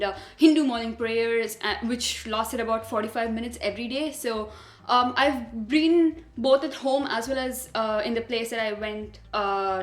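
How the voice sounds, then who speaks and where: An eighteen-year-old woman from India, a podcast guest who speaks hesitantly with many pauses. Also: fairly high pitch, reverberant. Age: teens. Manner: hesitant, with frequent "uh" and "um". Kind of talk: casual personal account.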